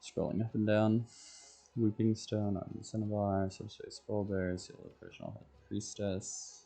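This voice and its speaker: Male game streamer, lowish and very mellow, offhand delivery, thinking out loud in fragments. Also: flat delivery, normal speed, vocal fry. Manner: absent-minded thinking aloud in short fragments. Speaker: game livestreamer. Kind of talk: casual chat while playing, muttering to self.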